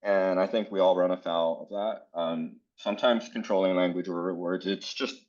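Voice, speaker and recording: A man from South Florida leads a workshop for teachers in a very low voice. He talks in a casual, earnest way and fills his pauses with "um." The audio is dull and lo-fi, like a video call. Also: fairly even intonation, a little mellow. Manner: casual, many fillers, earnest. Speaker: educator leading a workshop. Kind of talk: conference workshop presentation.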